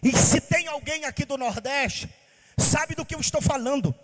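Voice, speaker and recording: A pastor from Bahia preaching with passion, asking rhetorical questions in a sing-song cadence. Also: male voice, extremely high pitch, normal speed, a bit bright, big-room sound. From Bahia, Brazil. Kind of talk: sermon.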